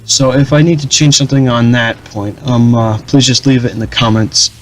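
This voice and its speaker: A young man casually commenting over his strategy game, rambling with many fillers and restarts in a flat, dull-sounding voice. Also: medium pitch, moderate pace, a little hiss or hum. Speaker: gaming channel host.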